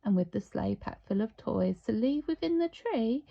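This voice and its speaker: A woman reading a children's storybook aloud with theatrical, deliberate, expressive storytelling. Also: on the high side, vocal fry, muffled recording. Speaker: storybook reader.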